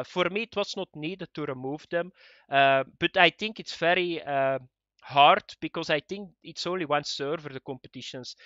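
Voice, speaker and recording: A man whose first language is not English, giving viewers a casual gaming news update in a halting way, with lots of fillers and repeated words. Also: on the high side, bright, forceful tone, no echo, muffled recording. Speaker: gaming video creator.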